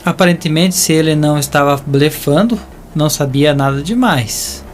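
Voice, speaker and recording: A man with a Brazilian accent narrating a scripted audio drama as a deliberate storyteller who addresses listeners. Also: a little high. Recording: voice over a music bed.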